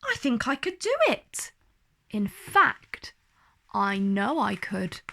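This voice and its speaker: A woman voicing a lazy, timid young girl fairy in a children's storybook, with expressive delivery. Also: fairly high pitch, slow pace, gravelly, heavily acted. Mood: growing confidence. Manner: expressive storybook character speech.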